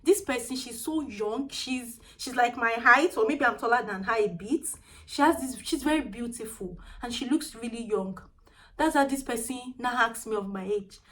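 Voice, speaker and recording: High, small-voiced Nigerian woman. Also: moderate pace, poor audio. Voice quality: small, tiny-sounding voice. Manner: animated, chatty, mixes in pidgin phrases. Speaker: vlogger. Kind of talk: casual storytelling vlog.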